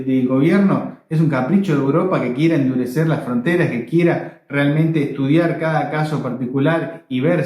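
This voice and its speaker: An Argentine man with a slightly high voice talks straight to his viewers as a video blogger. He argues his opinions emphatically, repeating phrases for stress. The room around him is clearly echoey. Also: a little mellow. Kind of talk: opinionated direct-to-viewer commentary.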